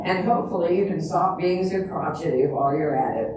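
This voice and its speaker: Older woman as a dry, low-voiced friend, echoey hall. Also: brisk, lightly acted. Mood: put out. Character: dry, chatty older woman, long-suffering best friend. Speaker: amateur readers theater performer. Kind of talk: comedic stage reading. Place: large echoey room before an audience. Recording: echoey hall from far off, noisy.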